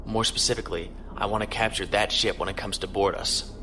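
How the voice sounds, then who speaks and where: An actor playing a calm, commanding starship captain in a measured, authoritative way for an audio drama. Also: male voice, fairly low pitch, over music, lightly acted.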